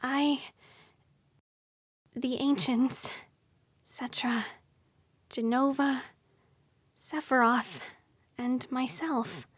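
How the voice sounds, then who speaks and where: A gentle, questioning young heroine acted by a woman in a game dub. Her voice is high and she speaks slowly and thoughtfully. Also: slightly rough, no echo, clean studio sound, exaggerated voice. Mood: pensive. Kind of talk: dramatic fan dub acting.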